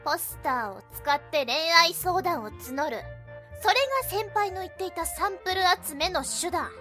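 Woman playing a high, prim, exasperated schoolgirl. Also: expressive, normal speed, sharp tone, heavily acted. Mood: polite, pointed. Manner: polite but scolding, indignant. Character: prim, exasperated high school girl, blunt junior club member. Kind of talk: Japanese youth romantic comedy voice drama. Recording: light music behind.

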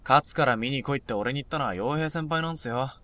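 A young man acting a blunt, provocative high school junior in an audio drama. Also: fast, a bit bright, no echo, close to an everyday voice. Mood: critical, matter-of-fact.

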